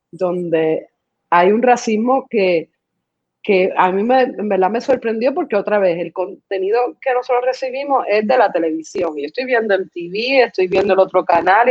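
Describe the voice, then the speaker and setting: A woman's voice: medium pitch, a little mellow, dry recording, muffled recording. A woman whose first language is English, born in Mexico and raised partly in the United States, telling her story as a guest in a casual conversation and slipping English words into her speech. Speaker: guest artist.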